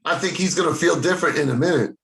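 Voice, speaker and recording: A man's voice: on the high side, fast, heavy background noise. A man joking casually with colleagues as an actor at a table read.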